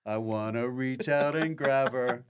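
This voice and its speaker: A man's voice: medium pitch, unhurried, background noise. A man hosting a podcast in casual comic chat, rambling and joking in a mock-earnest way. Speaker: podcast host.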